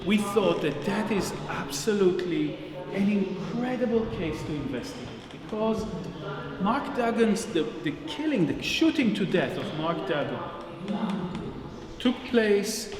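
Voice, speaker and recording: A man with an Israeli accent giving an unscripted public talk as an exhibition guide, phrasing his thoughts deliberately and rewording as he goes. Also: high voice, sing-song, crowd noise. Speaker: research agency director giving a narrated exhibition tour. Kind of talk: public talk, spoken off the cuff. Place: large echoing gallery space. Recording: echoey reverberant hall with audience sounds.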